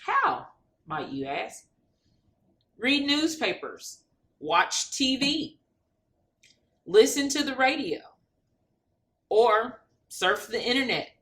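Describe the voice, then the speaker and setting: Woman, children's storybook reader, high bright voice, very lively intonation, unhurried, expressive and deliberate. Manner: expressive, deliberate, addressing young listeners with questions. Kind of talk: animated children's read-aloud.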